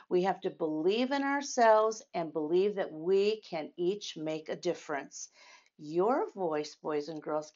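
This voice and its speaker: A woman teaching and reading a story aloud to young children, speaking in simple, deliberate phrases and asking them questions. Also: medium pitch, a little mellow, lo-fi sound.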